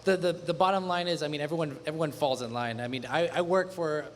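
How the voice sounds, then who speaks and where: Halting man in a reverberant hall. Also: fairly high pitch, slight background noise. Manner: halting, stammering repetitions. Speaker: panelist. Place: event hall panel.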